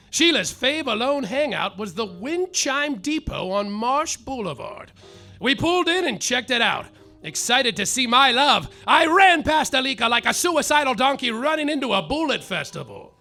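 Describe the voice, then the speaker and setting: An actor playing a hapless hard-boiled private detective who narrates in deadpan noir style with melodramatic outbursts, in a live comedy radio play. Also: male voice, very high voice, creaky, heavily acted.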